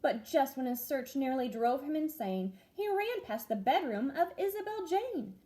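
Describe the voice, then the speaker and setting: A young first grade teacher reads a rhyming picture book aloud in a bright, high voice. Her delivery is sing-song and animated, rising and falling with the verses. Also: normal speed. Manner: expressive, sing-song storytelling.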